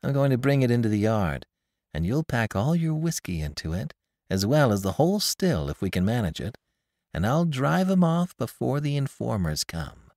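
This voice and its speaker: A man voicing a blunt, rough-spoken male woodman who scorns his neighbor. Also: medium pitch, sing-song, moderate pace, darkish tone, no echo, studio quality, voice pushed up. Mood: urgent.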